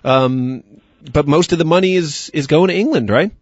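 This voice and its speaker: A man's voice: on the high side, normal speed, slightly rough, no echo. A man hosting a radio talk show, wry and opinionated in how he talks. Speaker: radio host. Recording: dull lo-fi radio broadcast.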